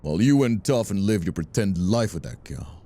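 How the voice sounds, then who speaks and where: An actor playing a vicious, bitter mob rival in an audio drama, mocking and theatrical in his drawn-out menace. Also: sits low, expressive, dark tone, a little hiss or hum. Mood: bitter contempt. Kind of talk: audio roleplay drama acting.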